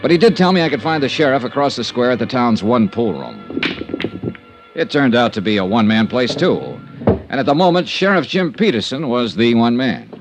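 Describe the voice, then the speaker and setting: An actor playing a probing, polite insurance investigator with a crisp, direct manner in a 1950s radio crime drama. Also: male voice, mid-range voice, sing-song, fairly quick, music in the background. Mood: wry narration. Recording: noisy old radio recording.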